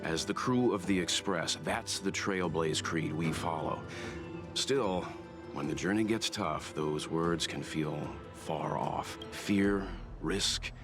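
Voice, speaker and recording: Casting: deliberate mentor figure, low voice, score underneath. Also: male voice. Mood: earnest. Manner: earnest, deliberate. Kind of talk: video game voice acting. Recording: game audio over music.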